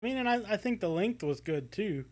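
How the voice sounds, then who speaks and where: A man with a slightly high voice talks casually as a podcast co-host. He feels his way through his thoughts, restarting and leaning on "like" as he goes. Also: expressive, a little fast, darkish tone. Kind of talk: casual review chat.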